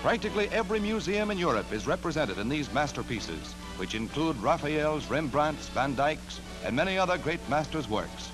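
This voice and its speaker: A man's voice: a little high, rough recording. An American man narrating a scripted 1940s newsreel in a dramatic, declamatory manner, on an archival soundtrack. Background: loud orchestral newsreel music.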